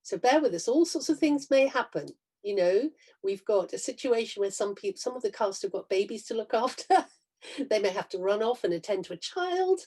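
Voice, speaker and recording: Woman, mellow and husky, chatty, encouraging host, informal welcome, online video call. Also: on the high side, big pitch swings, moderate pace. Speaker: director and announcer of amateur reading.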